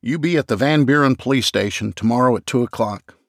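A man's voice: medium pitch, a little fast, no room sound, studio quality, voice pushed up, natural voice. A man voicing a blunt, firm police investigator asking curt questions.

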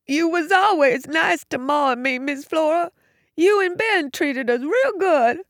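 A woman's voice: high voice, expressive, measured pace, no room sound, clean studio sound, voice pushed up. A woman voicing a grateful, tearful young giant of a man with plaintive, rustic speech in an audiobook story.

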